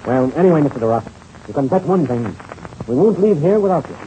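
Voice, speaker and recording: An actor playing a confident, decisive American pilot and senior adventurer in a hearty 1930s radio serial style. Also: male voice, on the high side, lively intonation, fast talker, dark tone, lo-fi sound, close to an everyday voice. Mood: resolute. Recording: faintly hissy old radio recording.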